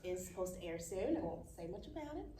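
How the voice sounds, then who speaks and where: A woman actress introducing herself casually in a playful, halting way. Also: on the low side, sing-song, dark tone. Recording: noisy echoey room, distant mic.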